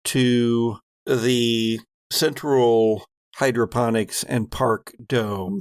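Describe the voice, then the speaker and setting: A man's voice: medium pitch, vocal fry, no room sound. A man working as game master, narrating a tabletop horror role-playing game in a conversational, descriptive storytelling way.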